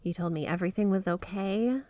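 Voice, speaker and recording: A woman performs a doting, obsessive yandere wife in an ASMR roleplay. Her mid-pitched voice sits close and dry on the mic. She is flirty and gushing, leaning slowly into key words as she talks to her beloved. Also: warm tone, no echo, close to an everyday voice. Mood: flustered, excited. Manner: gushing, breathy giggles, drawn-out emphasis on words. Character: flirty, obsessively devoted yandere wife with magic snow powers. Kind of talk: intimate ASMR roleplay monologue to the listener.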